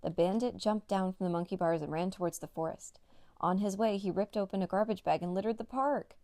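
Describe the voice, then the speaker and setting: A woman's voice: medium pitch, fairly quick, mellow, no echo. A woman narrating a children's read-along story as a storyteller.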